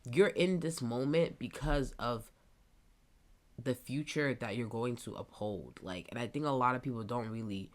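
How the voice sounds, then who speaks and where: Stuffy-nosed young woman with a very low voice. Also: normal speed. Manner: rambling, thinking out loud. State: stuffy nose. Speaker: podcast host. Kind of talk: casual solo podcast monologue.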